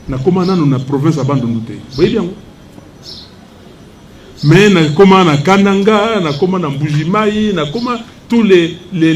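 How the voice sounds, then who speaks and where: An older man from Congo, whose first language is Lingala, answers a reporter with rising indignation and heavy emphasis. His voice sits high for a man. Faint noise hums in the background. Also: a little mellow. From Democratic Republic of the Congo. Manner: indignant, emphatic, switches between French and Lingala. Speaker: opposition politician at press conference. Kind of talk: heated press conference answer.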